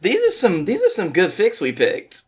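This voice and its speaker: A man's voice: high-pitched, huge pitch swings, normal speed, a little mellow, slightly rough. A man guesting on a podcast, talking casually and reading a story aloud, with an informal, self-deprecating way about him.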